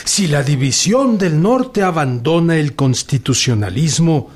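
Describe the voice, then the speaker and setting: Radio drama: principled army general, slightly high voice, slow, measured and earnest. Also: male voice, lively intonation, slightly rough. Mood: cautioning, earnest. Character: earnest, principled general advising his commander.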